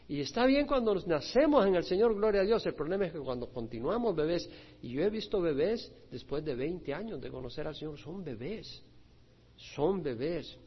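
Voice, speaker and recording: Male pastor, high-pitched preaching voice, emphatic swings between loud scripture reading and soft asides; long hall reverb. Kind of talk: expository sermon. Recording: muffled recording with hall reverb.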